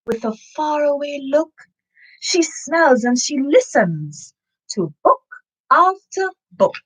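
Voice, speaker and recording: A woman's voice: high voice, big pitch swings, slow pace, low-quality recording. A woman from Trinidad doing a children's story time, reading expressively and talking casually straight to her young listeners.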